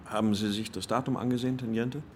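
An actor playing a formal, considerate senior police colonel in a radio crime drama, speaking in a measured way. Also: male voice, medium pitch, steady tone, background noise, close to an everyday voice. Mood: formal, conciliatory. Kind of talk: German radio drama acting.

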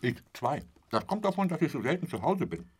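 An actor in a German radio drama playing a garrulous, boastful travelling salesman who rambles chummily and corrects himself, sometimes dropping to a breathy murmur. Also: on the high side, very animated, brisk. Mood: chummy, nosy. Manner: chatty, rambling storyteller with a pet polite phrase, correcting himself.

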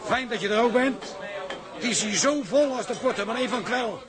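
A man's voice: very high-pitched, normal speed, a crowd in the background. A man playing a chummy seaman with a raised voice in a tavern, in a radio drama. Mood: lively, chummy. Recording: crowded tavern scene behind.

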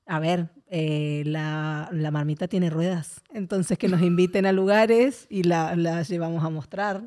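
A woman with a very low, dark, mellow voice talking on a community podcast. She rambles, restarting her sentences and filling pauses as she goes. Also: steady tone. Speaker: community project member. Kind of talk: casual podcast conversation.

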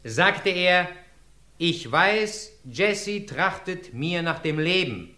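An actor playing a persistent lawyer cross-examining a witness in a radio drama, formal and probing. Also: male voice, sits high, expressive, takes it slowly, pushed and bright, roomy sound, heavily acted. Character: sharp, persistent male courtroom lawyer. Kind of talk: radio play acting.

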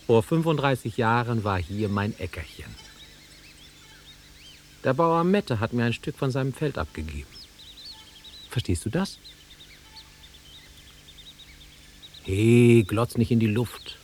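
An actor playing a nostalgic, easily offended middle-aged man with a husky voice in a naturalistic radio drama. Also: medium pitch, expressive, unhurried, pauses often, a little mellow, slight background noise, lightly acted. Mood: wistful. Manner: wistful, insistent, easily offended. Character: nostalgic, touchy middle-aged man revisiting his childhood fields.

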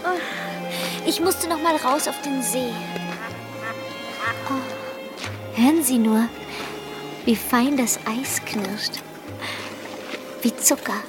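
A playful young baroness with a high voice. She is dreamy, exuberant and effusive, in a radio drama with music behind her. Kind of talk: German radio play acting.

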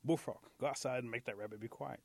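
A man's voice: mid-range voice, fast, creaky, dry recording. A man voicing an irritable, commanding eagle who leads a council of animals in a storybook tale. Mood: irritated. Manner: authoritative, giving orders.

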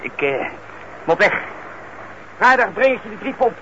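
A jumpy small-time crook in an old radio drama, his mid-pitched voice swinging widely as he protests in halting, defensive bursts. The recording is muffled and very noisy. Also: very animated. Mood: agitated. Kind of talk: Dutch radio play acting.

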